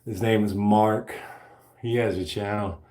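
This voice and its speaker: A man's voice: on the low side, steady tone, unhurried, darkish tone. A man in his forties chatting casually and joking about himself between sets of weightlifting in his home gym. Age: 40s. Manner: joking, self-deprecating banter between sets. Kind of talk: casual chat while lifting weights. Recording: roomy echo.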